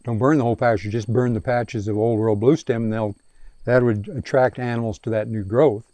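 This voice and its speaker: A man's voice: medium pitch, fairly even intonation, brisk, warm tone, no echo. A man answering a crowd's questions at an outdoor field day, talking with many restarts and fillers into a handheld mic on open prairie. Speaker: range scientist answering questions. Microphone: handheld microphone.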